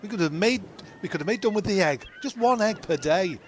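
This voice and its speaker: Male actor as exasperated castaway, matey, sweary, slightly high voice, comic radio play. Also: lively intonation, brisk, a little hiss or hum.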